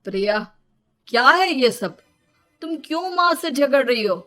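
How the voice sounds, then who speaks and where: A woman's voice: high-pitched, expressive, unhurried, fairly bright tone, faint music behind. A woman voicing a harried, exasperated young husband in a children's cartoon. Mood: puzzled. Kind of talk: Hindi children's cartoon dubbing.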